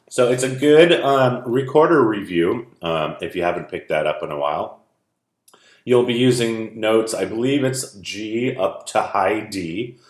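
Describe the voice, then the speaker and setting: A man speaking as a music teacher, informally explaining things to young students in a video lesson and read-aloud. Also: fairly low pitch. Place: indoor room at home. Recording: roomy indoor echo.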